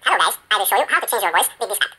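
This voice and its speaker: Tiny cartoon squirrel, high and very fast, processed with a voice changer. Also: male voice, animated, sharp tone, husky, heavily acted. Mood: chipper. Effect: squirrel voice changer, sped up and pitched up.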